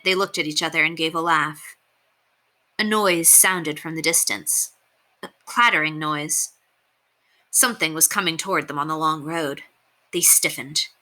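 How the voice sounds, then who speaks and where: A woman reading a children's novel aloud as expressive narration. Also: sits low. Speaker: storybook reader.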